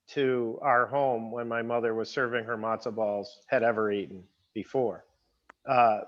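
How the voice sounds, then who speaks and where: A man sharing a personal family reminiscence in a deliberate way with hesitant pauses, as if partly reading aloud. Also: medium pitch, a bit bright, dull audio. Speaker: participant sharing a family memory.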